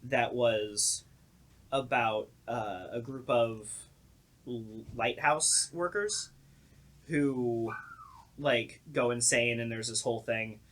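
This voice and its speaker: A man's voice: mid-range voice, slow pace, a bit bright. A man chatting casually and informally as the director of a radio play, with a sardonic, joking streak. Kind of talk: casual group podcast chat.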